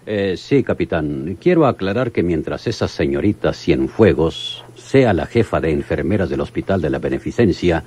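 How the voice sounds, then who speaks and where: Deep, husky Mexican police lieutenant. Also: male voice, expressive, normal speed, hardly any pauses, a little mellow. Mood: insistent. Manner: formal, emphatic, respectful. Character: earnest, formal police lieutenant defending his case. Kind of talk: radio drama acting.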